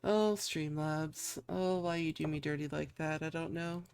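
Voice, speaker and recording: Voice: mid-range voice, level tone, measured pace, vocal fry, a little mellow, smooth, no echo. A game streamer giving casual, gushing and self-deprecating commentary while playing, with game music behind the voice.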